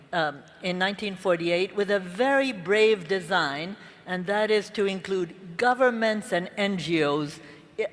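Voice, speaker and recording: Woman in a reverberant hall. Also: lowish voice. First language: Hungarian. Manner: earnest, with halting restarts. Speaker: conference panelist. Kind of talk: conference speech, personal career story. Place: large conference hall. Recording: long reverb of a big hall.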